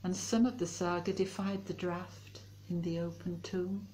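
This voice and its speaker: A woman reciting poetry in a slow, measured delivery as a reader. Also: fairly low pitch, little pitch movement, warm tone, low-quality recording. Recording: faint background noise and ambience.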